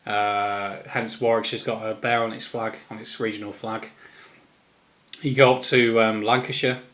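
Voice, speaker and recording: A man with an English East Midlands accent giving an informal, unscripted opinion monologue in a rambling, halting manner. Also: lowish voice, little pitch movement, a bit bright. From East Midlands, England. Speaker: solo commentator.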